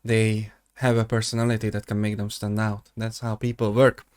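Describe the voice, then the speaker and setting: A man's voice: on the low side, little pitch movement, normal speed, a little mellow, no room sound, studio quality. A young man hosting a reaction video, sarcastic and rambling, his voice jumping up when exasperated. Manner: rambling with many fillers and restarts. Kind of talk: mocking reaction commentary.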